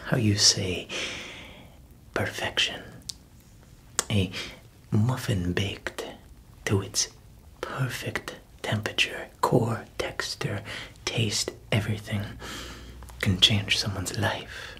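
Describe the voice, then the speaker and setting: A man in an ASMR roleplay voicing a proud male baker who whispers slowly, chuckles softly and fumbles for words as if foreign. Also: lowish voice, breathy edge, full character voice. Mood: playful. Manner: whispered, slow, with soft chuckles.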